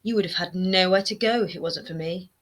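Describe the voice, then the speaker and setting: A woman voicing a shrill, nagging former queen in a storybook reading, her voice high, whining and scolding. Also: brisk, lightly acted. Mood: bitter. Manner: shrill and scolding, rising to near shouting. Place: home room lined with bookshelves. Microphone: webcam framing, seated close to camera.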